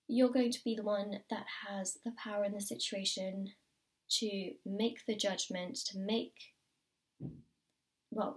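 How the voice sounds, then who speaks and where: A woman giving a tarot reading to the viewer, her voice slightly croaky, encouraging and repeating key phrases. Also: medium pitch, far from the mic. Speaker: tarot reader. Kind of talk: pick-a-card tarot reading to camera. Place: indoors at a table.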